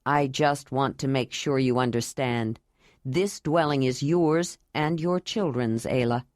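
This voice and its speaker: A woman voicing an earnest, loving young man in an audiobook, lowering her voice to speak calmly. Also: measured pace, no echo, clean studio sound. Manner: low, calm and earnest.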